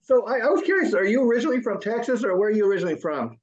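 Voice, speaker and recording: A man's voice: high voice, sing-song, very fast, dull audio. A man hosting an interview, chatting casually and rambling with lots of ums and restarts. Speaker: interview host.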